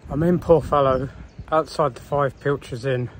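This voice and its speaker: A man's voice: medium pitch, fairly even intonation, moderate pace. A man in his fifties, a hiker, chatting casually and wryly to his phone on a windy coastal footpath. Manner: chatty, rambling, wry asides. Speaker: hiker vlogger. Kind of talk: casual walking vlog narration. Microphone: phone at arm's length.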